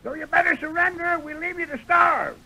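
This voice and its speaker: An actor playing a gruff, feisty old prospector with a folksy American drawl in an old radio western. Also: male voice, brisk, bright, forceful tone, full character voice, poor audio. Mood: shouting threats. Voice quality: creaky, cracked high voice. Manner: folksy frontier drawl, blustering. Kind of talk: 1930s-40s radio western acting. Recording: vintage radio recording.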